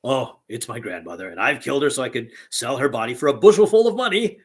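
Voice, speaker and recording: A man voicing a greedy, brutal man in a fairy tale, speaking bluntly and gruffly. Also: medium pitch, expressive, fast.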